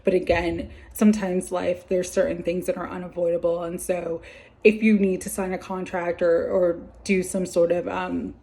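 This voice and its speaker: A woman doing a weekly tarot card reading, talking gently and meandering with many fillers while she is losing her voice. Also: fairly low pitch, little pitch movement, moderate pace, vocal fry.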